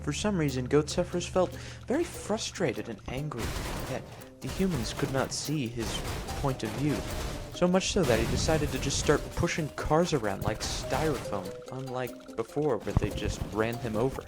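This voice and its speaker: A man improvising a mock-storybook narration over gameplay in a mock-serious, deadpan way. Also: medium pitch, moderate pace, few pauses. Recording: music behind the voice. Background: clear background music.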